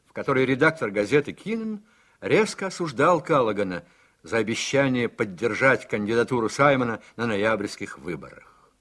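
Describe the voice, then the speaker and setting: A man narrating a radio play in a measured, scripted storytelling manner. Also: mid-range voice, very animated, a bit bright.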